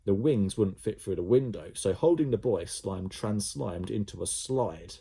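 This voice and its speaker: A male primary school teacher reading a children's story aloud, animated and full of sound effects. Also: fairly low pitch, a little slow, creaky, husky.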